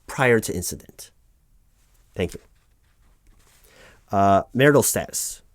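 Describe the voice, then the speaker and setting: A man playing a patient, officious human resources officer, haltingly reading out form fields in a one-sided ASMR roleplay. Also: fairly low pitch, lively intonation, no echo, clean studio sound. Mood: businesslike. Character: officious, patient male HR officer doing paperwork. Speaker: human resources interviewer filling out forms.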